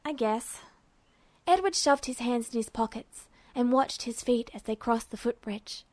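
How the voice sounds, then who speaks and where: Fiction narrator: woman, fairly high pitch, unhurried scripted read, close dry sound. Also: a little mellow, no echo.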